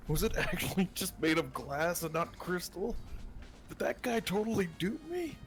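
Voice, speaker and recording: A man voicing a panicky, gullible young fortune teller in a game, rambling and exclaiming. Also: on the high side. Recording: music behind the voice.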